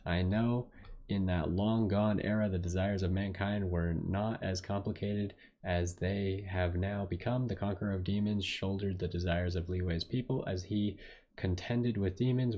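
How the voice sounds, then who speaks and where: A man with a low voice gives casual commentary as he plays a video game. He reads on-screen text aloud, tripping over words and tossing in muttered asides. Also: dark tone. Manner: mutters asides, stumbles while reading aloud.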